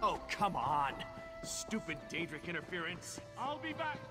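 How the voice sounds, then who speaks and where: Theatrical fantasy-game villain: high, slow, frustrated, over clear music. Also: male voice, very animated. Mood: exasperated. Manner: theatrical, declaiming. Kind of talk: video game character dialogue.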